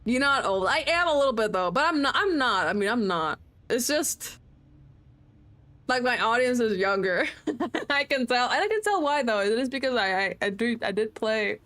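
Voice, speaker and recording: Chatty young woman streamer, slightly high voice. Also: expressive, moderate pace, fairly bright tone. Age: late 20s. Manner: chatty, self-mocking, swearing freely. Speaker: game streamer. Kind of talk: casual livestream chat.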